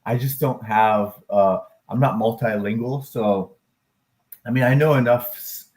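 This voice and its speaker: An upbeat man hosts a casual live stream on market charts, his pitch sitting in the middle of the usual male range. He talks in a loose, rambling way, full of fillers and quick self-corrections, and breaks into loud whooping cheers now and then. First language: English. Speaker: live stream host, crypto and stock chart analyst.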